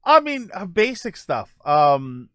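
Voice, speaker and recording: A man with a fairly high voice hosts a relaxed live chat. His intonation swings widely as he rambles and jokes through answers to viewers. The sound is dry, with very little room in it. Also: slow, smooth, dry recording. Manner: rambling, joking, animated. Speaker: podcast host answering viewer questions. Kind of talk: casual live stream chat.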